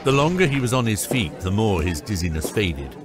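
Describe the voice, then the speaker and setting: A man's voice: lowish voice, big pitch swings, fairly quick. A man reading scripted narration for a fantasy audiobook. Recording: loud music under the voice. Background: music.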